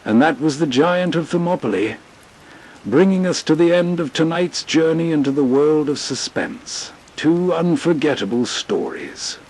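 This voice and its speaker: A man with a husky voice narrating gently and reflectively as a soothing, scripted nostalgia show host. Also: fairly high pitch, unhurried, poor audio.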